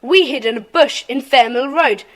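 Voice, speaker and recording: A woman's voice: sits high, moderate pace, a bit bright. A woman playing a confident, eager schoolboy who leads a club in a children's audio drama. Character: decisive, encouraging schoolboy leader of a children's club.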